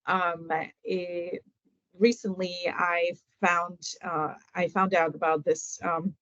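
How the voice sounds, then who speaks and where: A middle-aged woman from Ukraine, a native Ukrainian speaker, talking casually as an interview guest and pausing often with fillers and restarts. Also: fairly low pitch, little pitch movement, a bit bright, no room sound. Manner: hesitant, many fillers and restarts. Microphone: video call. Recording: dull lo-fi video call audio.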